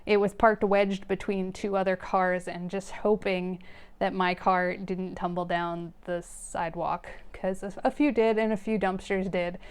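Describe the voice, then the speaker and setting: Woman vlogger, relaxed and rambling, talks straight to her viewers in an ordinary mid-range voice. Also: medium pitch, a little slow, clean tone. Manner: rambling, chatty, frequent fillers and soft laughs; friendly direct address to viewers. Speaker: knitting vlogger, host. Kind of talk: casual chat to camera.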